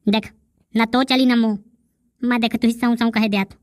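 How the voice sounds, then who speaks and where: A woman's voice: a little high, fairly even intonation, moderate pace, no room sound, clean studio sound, natural voice. A woman voicing a sassy, wordy, bickering rustic character in a village comedy cartoon, with a Bundelkhandi accent. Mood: bossy. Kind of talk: dialect comedy cartoon dialogue.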